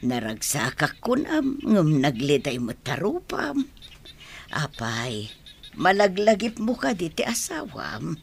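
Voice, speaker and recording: A woman's voice: low-pitched, wildly swooping pitch, slow pace, gravelly, slightly rough, heavily acted. A woman with an Ilocano Filipino accent playing a caring, worried older mother and grandmother in a radio drama, coaxing and soothing. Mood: gentle reassurance.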